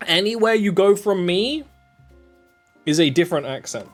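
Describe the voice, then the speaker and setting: A man's voice: expressive, normal speed, faint music behind. Chatty British man streaming a game. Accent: southern English. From England. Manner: rambling, chatty, with sudden jumps in pitch. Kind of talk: casual gameplay commentary.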